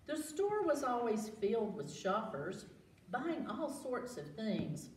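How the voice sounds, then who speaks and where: A woman's voice: fairly low pitch, a little mellow. A woman hosting a read-aloud storytime for young children, asking questions and repeating words for them. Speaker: library storytime host. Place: echoing room with children present. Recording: roomy, distant mic with background noise.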